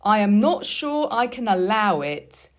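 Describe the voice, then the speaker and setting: A woman's voice: mid-range voice, big pitch swings, measured pace. A woman voicing a cautious, stern male doctor in a storybook, firm and admonishing. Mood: stern concern.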